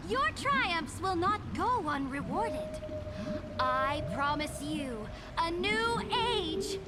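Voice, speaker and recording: A woman's voice: very high voice, very noisy, exaggerated voice. A woman playing a proud, commanding female monarch in a video game, declaiming grandly and regally. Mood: reassuring, proud. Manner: declamatory, regal speech-making. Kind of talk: scripted video game voice acting. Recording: loud music over the voice.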